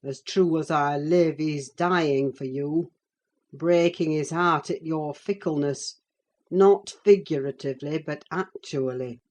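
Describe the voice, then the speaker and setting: A woman voicing a wicked middle-aged gentleman in an audiobook, sardonic and accusing, with a deliberate delivery. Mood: accusing, threatening. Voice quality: deep. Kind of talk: audiobook character voice.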